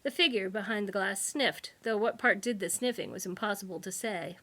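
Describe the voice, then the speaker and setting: A woman narrates a comic sci-fi novel as its author. Her voice is medium in pitch and notably bright and forceful. The delivery is wry and expressive. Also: a little fast. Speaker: author reading own book.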